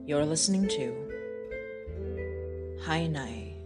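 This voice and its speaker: A woman's voice: low voice, lively intonation, slow pace. A woman announcing a podcast title in a scripted style. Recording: voice over prominent plucked guitar music. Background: plucked string guitar music.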